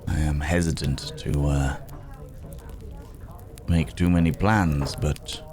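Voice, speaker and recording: A man performs a world-weary gentleman werewolf with an extremely low voice. He talks in formal, genteel phrases, musing and pausing with small hums. It is an intimate ASMR fantasy roleplay addressed to a companion. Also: fairly even intonation, crowd noise. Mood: pensive, cautious. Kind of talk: ASMR roleplay acting, spoken to the listener.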